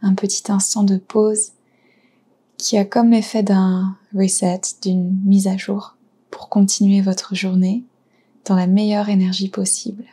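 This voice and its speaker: A woman guiding a yoga nidra relaxation, speaking softly and soothingly with pauses between short phrases. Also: mid-range voice, flat delivery. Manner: soft, breathy, soothing delivery with pauses. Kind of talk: guided relaxation meditation.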